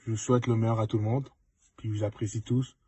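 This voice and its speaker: A young man speaks reflectively to students and drops in English words. His low voice sounds husky and close, with a dry, unechoing sound. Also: level tone, quick pace, dark tone, dry recording. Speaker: former student, pro football player. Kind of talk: casual testimonial to students.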